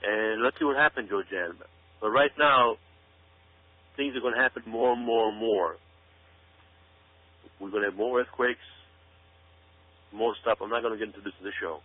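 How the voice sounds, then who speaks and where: Low-voiced man on a phone-like radio line. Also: moderate pace, pauses often. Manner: emphatic, repeats words and phrases. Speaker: talk show guest commentator. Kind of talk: conspiracy-minded radio commentary. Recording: narrow, phone-like radio sound.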